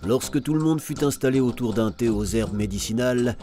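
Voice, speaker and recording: A man narrating an animated story from a script, as a storyteller. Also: mid-range voice, a little fast. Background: music under the voice.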